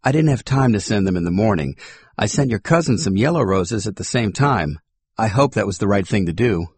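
A man voicing a restless young gentleman, ironic and earnest, in a husky audiobook voice. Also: on the low side, animated, a little fast, dry recording. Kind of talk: audiobook character voice.

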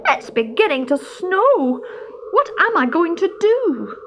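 High, fretful little stoat, voiced by a woman. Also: very animated, slow pace, a little hiss or hum, heavily acted. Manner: plaintive, exclaiming. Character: small anxious young male stoat, fretful and pleading.

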